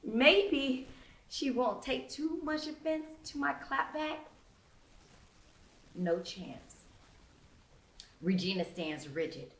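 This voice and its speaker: A woman's voice: high-pitched, animated, takes it slowly, pauses often, a bit bright. A woman author reading her novel aloud, narrating dramatically and expressively in the first-person persona of a young teen. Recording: roomy, echoing sound.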